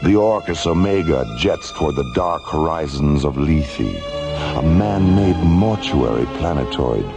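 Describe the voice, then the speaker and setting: A man's voice: a bass voice, expressive, a little slow, over music. A man acting as a grave, portentous narrator of a science fiction radio drama. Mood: ominous, dramatic. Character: grave, ominous male narrator of space tales.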